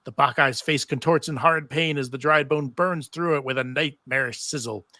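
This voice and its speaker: A man's voice: medium pitch, moderate pace, sharp tone, no echo, studio quality. A man narrating stage directions in a live table read of a TV script.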